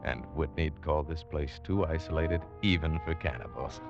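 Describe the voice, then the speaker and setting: An actor playing an upright, plain-spoken big-game hunter in a 1940s radio drama, reserved and earnest. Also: male voice, very deep voice, moderate pace, vocal fry. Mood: measured narration. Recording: dull vintage radio recording, faint music.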